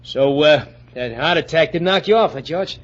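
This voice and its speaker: An actor playing a brash, scheming murderer with a gruff, slangy, blustering manner in 1940s radio drama style. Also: male voice, a little high, lively intonation, moderate pace, lightly acted. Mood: brash, dismissive. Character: brash, scheming male murderer, tough and dismissive. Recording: muffled, noisy old-time radio recording.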